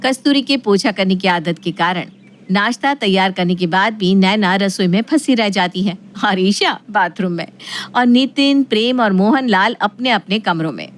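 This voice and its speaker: A woman's voice: mid-range voice, moderate pace. A woman narrating a scripted family story.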